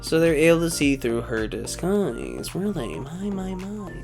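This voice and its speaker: Brief: man, higher voice, very animated pitch, casual anime-preview host, faint music bed. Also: unhurried, gravelly. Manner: animated, wondering aloud. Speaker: anime YouTuber. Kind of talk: reading synopses and casual commentary.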